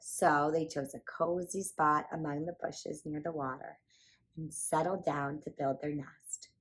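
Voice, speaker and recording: A woman reading a children's picture book aloud as a storyteller, in an animated, emphatic way meant for young listeners. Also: low-pitched, unhurried, low-quality recording.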